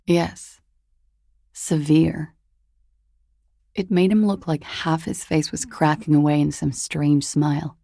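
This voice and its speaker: A woman's voice: low voice, gravelly, dark tone, slightly rough, dry recording, studio quality, exaggerated voice. An actress voicing a world-weary, guarded, seductive woman in a video game, with languid, hesitant, wry delivery. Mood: melancholic. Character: world-weary, guarded, seductive disco-dancer woman recounting a dead lover. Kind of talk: video game dialogue acting.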